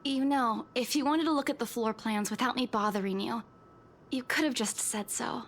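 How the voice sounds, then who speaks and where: High-voiced, defensive young woman. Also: moderate pace. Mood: wry. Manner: defensive, casual, slightly flustered. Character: defensive, plucky young female property agent. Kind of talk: visual novel game voice acting.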